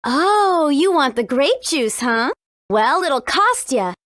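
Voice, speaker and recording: A woman's voice: high-pitched, sing-song, slow, a bit bright, no room sound, clean studio sound, heavily acted. A woman voicing a confident, cheeky teenage heroine in a video game, breezy and persuasive. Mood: teasing, sly. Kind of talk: video game character acting.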